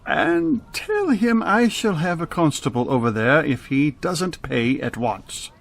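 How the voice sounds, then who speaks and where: A man playing a grim, ill-tempered old miser in a radio play, gruff, barking and scornful. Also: on the high side, very animated, measured pace, heavily acted. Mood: cold, threatening.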